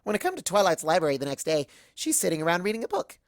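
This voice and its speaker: A woman's voice: on the low side, sing-song, racing, dry recording, studio quality. A woman reading a first-person cartoon fan story aloud, performing the narration in character.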